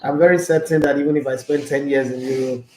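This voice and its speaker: A man's voice: medium pitch, fairly even intonation, fast talker, dark tone, slight background noise. A man with a Nigerian accent hosting a sports talk show, chatty and boastful, with pidgin asides. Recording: roomy echo.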